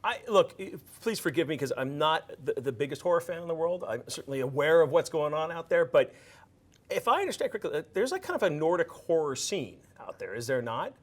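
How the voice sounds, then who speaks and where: A man's voice: on the high side, sing-song, fairly quick. Chatty male interviewer. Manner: chatty, self-deprecating, stumbles on starts. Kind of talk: casual interview questions.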